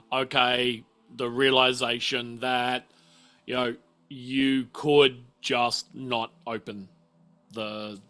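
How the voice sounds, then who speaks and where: A man's voice: mid-range voice, sharp tone. A man running a tabletop role-playing game as game master, improvising narration and calling for rolls. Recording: music behind the voice.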